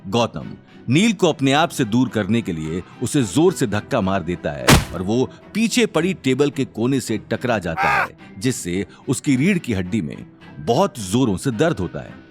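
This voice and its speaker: A man's voice: lowish voice, very animated, a little slow, soft background music. A man narrating a scripted drama serial in a dramatic storytelling style.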